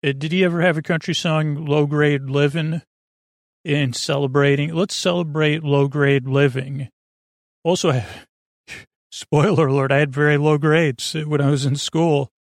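A middle-aged man hosting a bedtime podcast in a creaky voice, rambling hesitantly, trailing off and circling back on himself. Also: on the high side, steady tone, a little slow, dry recording, clean studio sound. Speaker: podcast host. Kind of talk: rambling bedtime monologue to help listeners sleep.

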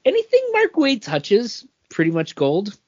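A man talking informally as a co-host in a relaxed podcast discussion. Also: high-pitched, unhurried, breathy edge, muffled recording. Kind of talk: casual panel chat.

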